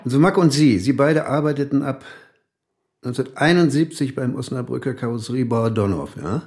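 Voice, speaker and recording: An actor playing a methodical male police interviewer in a radio drama, deliberate, checking each fact. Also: mid-range voice, darkish tone, close to an everyday voice. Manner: deliberate, checking with tag questions.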